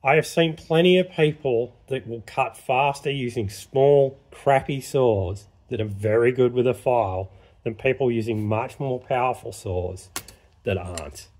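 A man's voice: mid-range voice, a little slow, darkish tone. Plain-spoken man in a workshop. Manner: plain-spoken, matter-of-fact, practical. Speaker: chainsaw sharpening instructor. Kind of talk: casual workshop demonstration. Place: workshop bench.

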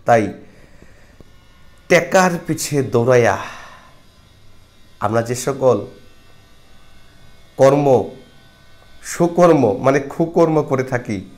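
A middle-aged man from Bangladesh giving a casual film review to camera on a lapel mic, reflective and moralizing, often pausing with filler sounds. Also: medium pitch, expressive, a little mellow. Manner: reflective, moralizing, frequent hesitations. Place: small indoor studio with patterned backdrop.

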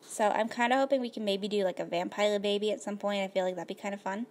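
A woman with a slightly hoarse voice, casually narrating her life-simulation gameplay at a computer, rambling with lots of fillers. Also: medium pitch, fairly even intonation, quick pace, darkish tone. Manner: rambling, filler-heavy, laid-back. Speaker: gaming video host.

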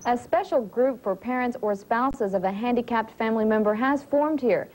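Young woman, American accent, fairly high voice, formal news anchor delivery, measured, studio recording. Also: darkish tone, rough recording. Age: young adult. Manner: formal, measured, clearly articulated. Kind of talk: scripted television newscast. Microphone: lapel mic.

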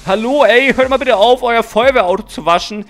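A young man narrating and acting out a game role-play in an excitable, exclamatory, dramatic way. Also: very high-pitched, fairly quick. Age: young adult. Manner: breathless, exclamatory, dramatic and repetitive.

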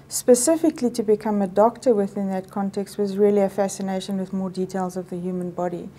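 A middle-aged South African woman whose first language is Afrikaans, a medical professor giving thoughtful, measured answers in an indoor interview. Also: mid-range voice, mellow, a little hiss or hum. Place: office interview set, indoors.

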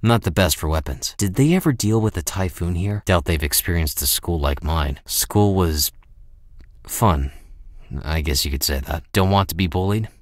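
A cool, world-weary American mercenary for a video game. The voice is very low and recorded dry and close, with no room around it. He speaks in short, understated, deadpan remarks, sounding cynical and unimpressed. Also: moderate pace, dry recording.

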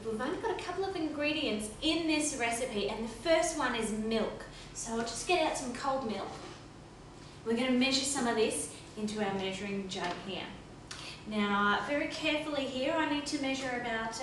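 A woman's voice: fairly high pitch, expressive, normal speed, far from the mic, a little hiss or hum. Chirpy woman host in an echoey kitchen. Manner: chirpy, overly careful demonstrating tone. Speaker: cooking show host. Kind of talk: mock cooking show presenting. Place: home kitchen, indoors. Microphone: camera mic from across the room.

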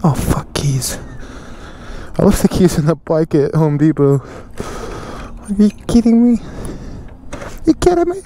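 A man's voice: fairly high pitch, huge pitch swings, slow pace, pauses often. A young man talking casually to the camera while riding a motorcycle, laid-back and jokey. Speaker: motovlogger. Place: outdoors on a motorcycle in traffic. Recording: loud wind and engine noise. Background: loud engine and road noise.

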